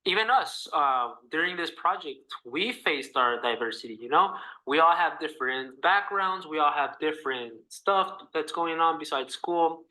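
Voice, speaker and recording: A young man from Mexico with a Mexican accent, earnestly giving a class presentation in a halting way over a video call. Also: mid-range voice, sharp tone, low-quality recording. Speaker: student presenter.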